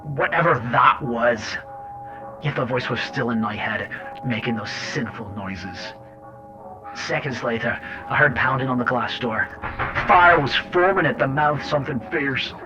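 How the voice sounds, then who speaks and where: An actor plays a sardonic, hot-tempered male agent narrating a radio drama. He has a mid-pitched voice and wry, colloquial phrasing, on a dull tape with music clearly underneath. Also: unhurried, close to an everyday voice. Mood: brooding, wry. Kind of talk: first-person scripted drama narration.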